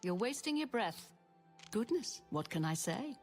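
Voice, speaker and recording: Fantasy RPG townswoman, very animated intonation, soft music underneath. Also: fairly high pitch, unhurried. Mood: dismissive. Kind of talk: video game character dialogue.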